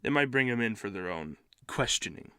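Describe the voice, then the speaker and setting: A man acts as a busy, concerned cop in an audio play. His mid-range voice is bright and forceful, recorded dry and close, with brisk, colloquial phrasing. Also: on the low side, animated, clean tone, dry recording. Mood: worried, brisk. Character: worried, busy policeman giving orders to colleague. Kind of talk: audio drama acting.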